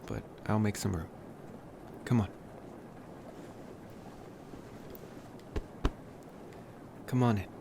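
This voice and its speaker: A man playing an affectionate young college vampire in an intimate ASMR boyfriend roleplay, speaking softly and gently in short, hesitant phrases. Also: fairly low pitch, normal speed, creaky, noisy background. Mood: relaxed, affectionate.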